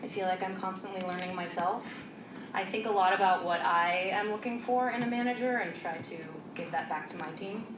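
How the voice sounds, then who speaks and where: A woman's voice: mid-range voice, fairly quick. A woman talking casually to an audience, often using "like" as filler. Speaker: panel speaker. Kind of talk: conversational talk to an audience. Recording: roomy far-off hall recording with noise.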